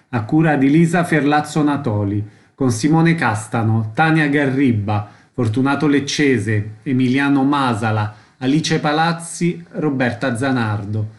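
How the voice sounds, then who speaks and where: Guy announcing show credits on radio, measured and formal, mid-pitched voice. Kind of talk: scripted announcement of theatre credits.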